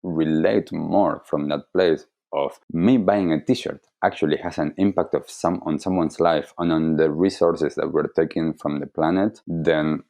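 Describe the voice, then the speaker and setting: A man with an Argentine accent giving reflective interview answers as a wellness coach, explaining deliberately and earnestly. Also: very deep voice, fairly even intonation, vocal fry, warm tone. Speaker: wellness coach, podcast guest.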